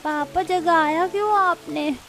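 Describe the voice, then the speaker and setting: A woman's voice: extremely high pitch, slow pace, fairly bright tone, soft background music, heavily acted. A woman voicing a small, hungry, pleading child in a cartoon with a whiny manner. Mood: whining.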